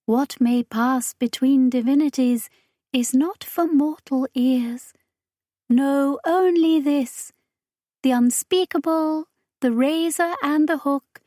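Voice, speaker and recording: Woman as high-pitched, ceremonious alien majordomo. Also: warm tone, no echo, studio quality. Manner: solemn, archaic, ceremonious. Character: reverent, formal alien hive-being serving as majordomo.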